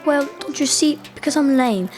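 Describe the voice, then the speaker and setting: A woman's voice: sits high, moderate pace, a little mellow, faint music behind, close to an everyday voice. A woman playing a sweet, earnest little boy in a radio play. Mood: earnest, innocent.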